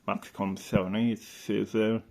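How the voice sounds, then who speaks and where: Rambling man with a creaky, lowish voice. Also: little pitch movement, unhurried, mellow. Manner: rambling, hesitant, thinking aloud. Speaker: video presenter. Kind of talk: casual commentary while reading through an old catalogue.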